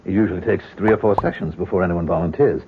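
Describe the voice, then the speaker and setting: An actor playing a courteous, reassuring university professor with a measured delivery in a radio drama. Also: male voice, deep voice, background noise. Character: smooth-talking, reassuring male university professor with secrets. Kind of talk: 1970s radio drama acting. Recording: dull old radio broadcast.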